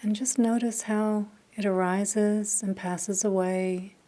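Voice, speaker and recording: A woman leading a guided meditation, speaking softly and calmly with long pauses between phrases. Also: medium pitch. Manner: slow, soft, calm with long pauses. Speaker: meditation teacher.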